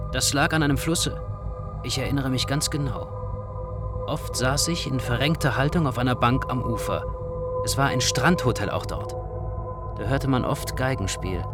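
An actor playing a brooding man who reminisces and confides, with deliberate delivery in a literary radio drama. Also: mid-range voice, close to an everyday voice. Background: music.

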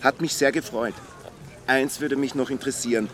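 An actor playing an eager, self-important small-town reporter with an Austrian accent in a radio drama, gushing and pompous. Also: male voice, medium pitch, fairly even intonation, normal speed, fairly bright tone, slight background noise, natural voice. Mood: pleased, chatty. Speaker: amateur local video reporter.

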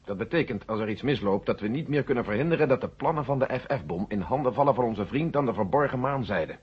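An actor playing a blunt, authoritative male general with a husky voice in a science-fiction radio play. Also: medium pitch, animated, quick pace. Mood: worried, stern. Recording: muffled vintage radio recording.

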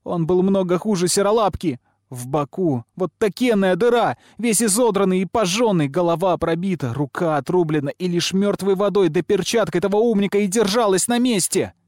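Man voicing a shrill, furious little girl. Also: animated, normal speed, hardly any pauses, no echo, studio quality. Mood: shrill indignation. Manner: shrieking, tearful, defiant. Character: furious, defiant, weeping young girl named Flame.

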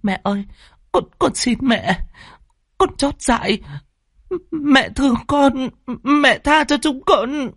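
A narrator acts out a frightened young daughter in an audio story. Her voice is high and husky. She stammers and begs in a meek, sobbing way, recorded close and dry. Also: slow, pauses often, a bit bright, no echo, exaggerated voice. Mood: tearful pleading.

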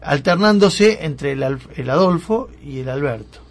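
A man's voice: a little high, expressive, normal speed. A man with an Argentine accent hosting a radio interview, probing and recapping facts with his guest. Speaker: radio host.

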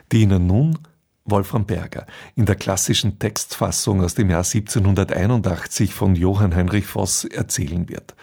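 Husky low-voiced man introducing a radio play. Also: dark tone. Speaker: radio host introducing an audio drama.

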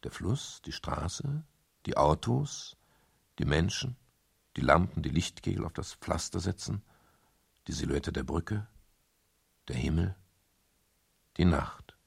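A low, husky private detective in a seventies radio crime play. He can be curt and indignant or softly intimate, and his pitch moves freely between the two. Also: lively intonation, takes it slowly, pauses often, dry recording, clean studio sound, heavily acted. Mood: reflective narration. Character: brusque, irritable male private detective, tender with his lover. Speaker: actor and first-person narrator. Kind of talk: 1970s German radio crime drama acting.

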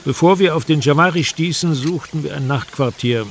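An actor playing a traveller who narrates a jungle river journey in a scripted radio drama. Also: male voice, fairly high pitch, moderate pace, natural voice. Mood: measured, suspenseful. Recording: noisy outdoor ambience behind voice.

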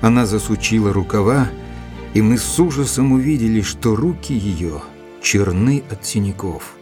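An actor playing a gentlemanly detective's companion who recounts the case in a measured, literary audio-drama narration. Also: male voice, on the low side, animated, lightly acted. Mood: tense storytelling. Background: music.

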